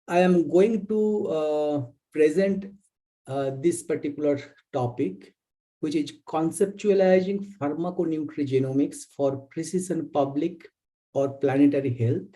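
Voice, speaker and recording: A male academic with an Indian English accent delivers a webinar lecture. His voice sits a little high for a man yet has a dark, relaxed tone. He phrases things deliberately and haltingly, as a visiting professor walking through slides.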